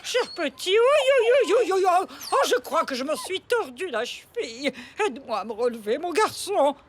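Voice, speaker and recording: High, swooping frail old lady. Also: sing-song, moderate pace. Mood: grateful, frail. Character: frail, kindly old lady neighbour, plaintive and dramatic. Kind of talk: French animated cartoon acting. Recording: music behind the voice.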